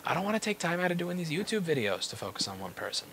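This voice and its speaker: A man's voice: fairly high pitch, sing-song, racing, fairly bright tone, a little hiss or hum. A man giving a blunt, exasperated, unscripted rant to viewers, stressing points by repeating phrases. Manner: exasperated, occasionally swearing. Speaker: repair business owner making a video.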